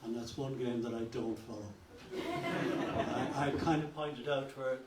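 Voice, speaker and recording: A man's voice: medium pitch, steady tone, normal speed. An older man giving an informal, joking and effusive guest speech at a cultural evening. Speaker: guest speaker. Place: function hall. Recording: roomy hall from a distance, background noise.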